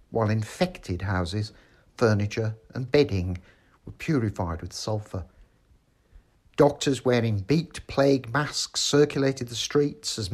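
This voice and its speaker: A man narrates a scripted essay. His delivery is formal and measured, with a slightly husky, less polished tone at an average male pitch. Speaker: article reader.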